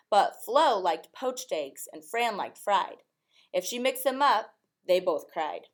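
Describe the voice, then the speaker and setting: A woman reading a rhyming picture book aloud expressively, in a measured sing-song rhythm. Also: medium pitch.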